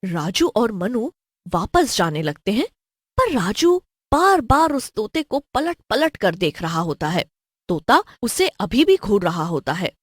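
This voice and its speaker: Slow, expressive woman storyteller. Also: mid-range voice, dry recording. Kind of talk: scripted horror story narration.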